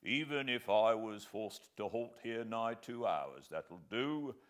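Bluff hearty sergeant guy read by a man, low voice, slow and commanding. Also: animated. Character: gruff hearty soldier sergeant, middle-aged man.

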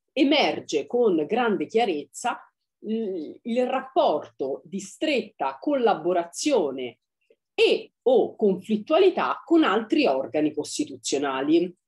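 A woman in her forties giving an academic talk over a video call from a book-lined study, deliberate and with frequent hesitation fillers. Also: medium pitch, darkish tone. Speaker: law lecturer. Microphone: webcam on video call.

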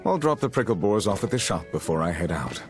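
A man voicing a stern, calm swordsman mentor in a video game, measured and instructive. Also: low-pitched. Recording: music under the dialogue.

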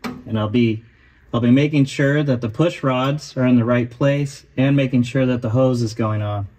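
A man calmly explaining each step of putting an engine back together, voicing over his repair work. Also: mid-range voice, normal speed, a little hiss or hum. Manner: calm, matter-of-fact explaining. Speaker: host of a car restoration channel.

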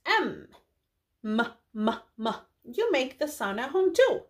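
A young woman, a preschool teacher, speaking to small children in a deliberate read-aloud style with drawn-out words. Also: sits high, big pitch swings. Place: small indoor room.

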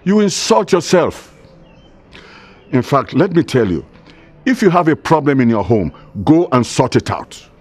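A man's voice: fairly high pitch, big pitch swings, unhurried, pauses often, a little mellow. An actor playing a proud middle-aged father in a film drama, cutting and emphatic, with a Nigerian accent. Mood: contemptuous. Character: proud, scornful father defending his household.

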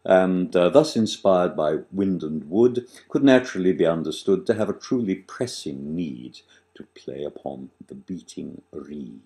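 An older man recites satirical rhyme in a low voice that swings widely in pitch. He takes his time, leaning on key words with theatrical relish and then dropping away. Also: lively intonation, dark tone. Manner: dramatic, deliberate delivery with emphatic swells and drops. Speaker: author reading own verse. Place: small living room, on a sofa, indoors.

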